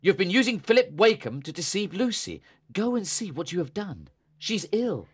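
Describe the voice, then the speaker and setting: A man's voice: sits high, big pitch swings, moderate pace, breathy edge, full character voice. An actor playing a stern, bitter young man who coldly and harshly accuses his sister, in an audiobook reading. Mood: bitter, angry.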